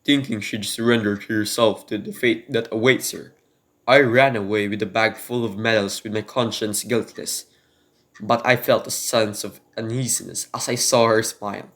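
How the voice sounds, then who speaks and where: Man acting a desperate, halting prisoner pleading innocence. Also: on the low side, steady tone, a bit bright. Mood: earnest, pleading. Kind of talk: amateur radio drama narration.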